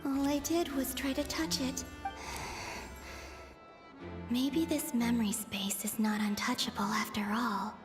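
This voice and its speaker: Young heroine, played by a woman: high voice, curious and resolute, thinking aloud, game dialogue with music behind. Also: steady tone, unhurried, long pauses, close to an everyday voice. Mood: puzzled, determined. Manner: musing aloud. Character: puzzled, determined young woman exploring a memory space. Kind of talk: video game voice acting.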